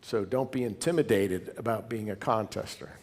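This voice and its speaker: A man's voice: fairly low pitch, normal speed, a little mellow, husky. A man giving an informal, conversational slide presentation to fellow hobby club members, wandering into asides as he goes. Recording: long hall reverb.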